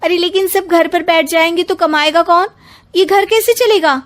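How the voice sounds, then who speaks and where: A woman puts on a young daughter-in-law with a very high voice. She is sassy, sarcastic and sharp-tongued, arguing her way through a cartoon family comedy. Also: fairly even intonation, normal speed. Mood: alarmed. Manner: sarcastic, argumentative.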